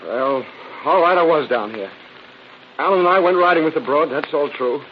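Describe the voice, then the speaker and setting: An actor playing an evasive, cornered crook under interrogation in a defensive, pleading 1930s radio style. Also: male voice, on the high side, expressive, moderate pace, lightly acted. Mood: weary, reluctant. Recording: muffled, noisy vintage radio recording.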